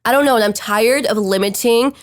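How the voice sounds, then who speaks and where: A woman's voice: a little high, a little fast. A woman in her twenties with a stuffy, nasal voice, chatting frankly and rambling a little. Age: 20s. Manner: rambling, frank, searching for words. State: stuffy nose. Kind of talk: casual chat.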